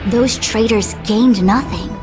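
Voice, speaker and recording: An actress playing a composed, dutiful woman diviner in a video game, speaking formally and with measured articulation. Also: a little high, music in the background. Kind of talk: scripted video game dialogue.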